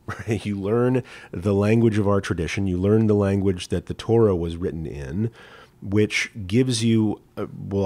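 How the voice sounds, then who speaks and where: A man's voice: fairly low pitch, darkish tone. A man, a rabbi, answering viewers' questions on a live stream in a conversational teaching manner, often checking in with "right?". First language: English. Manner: conversational teaching, frequent "right?" asides and restarts.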